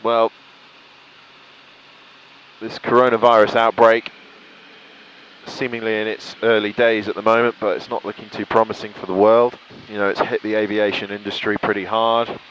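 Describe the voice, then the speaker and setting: A British man with a fairly low voice talks casually to his viewers as he flies a light aircraft. He sounds enthusiastic and reflective. Clear engine and cockpit noise runs behind him. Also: little pitch movement, moderate pace, pauses often, fairly bright tone, muffled recording. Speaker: pilot vlogger. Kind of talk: casual talk to viewers while flying.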